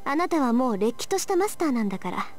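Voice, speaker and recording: An actress playing a sharp, proud teenage girl mage, brisk and assertive, in a Japanese visual novel. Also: high-pitched, very animated, faint music behind. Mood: cool, businesslike.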